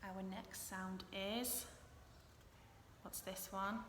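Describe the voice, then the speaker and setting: A woman teacher reading a storybook and teaching phonics to young children, deliberate and instructional, often questioning. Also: medium pitch, level tone. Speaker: early years teacher. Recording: echoey hall-like room with noise.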